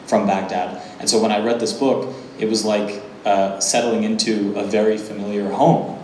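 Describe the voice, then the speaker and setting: A young man interviewing an author, speaking thoughtfully with some halting starts. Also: fairly low pitch, level tone, darkish tone, echoey room, slight background noise, rough recording. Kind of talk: moderating a conversation.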